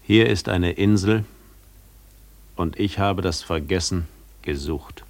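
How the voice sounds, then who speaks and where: An actor playing a grave, weary man in a radio play, speaking in a sombre, deliberate way. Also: deep voice. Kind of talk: German radio play acting.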